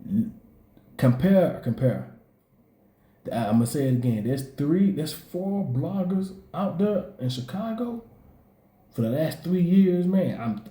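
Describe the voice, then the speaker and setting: A man's voice: mid-range voice, dark tone, echoey room. A man with a Southern accent, rambling in profane street slang as he gives casual commentary on his vlog. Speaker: blogger.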